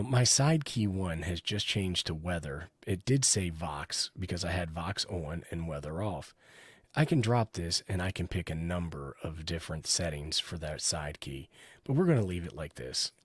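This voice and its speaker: Very deep-voiced man, crisply recorded. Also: dry recording. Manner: explanatory, talking through each step. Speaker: radio hobbyist reviewer, host. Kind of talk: casual hands-on product review narration.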